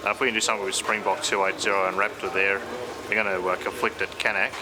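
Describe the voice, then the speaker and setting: A man's voice: low-pitched, flat delivery, quick pace. A man working as an air traffic controller, giving working instructions to a colleague.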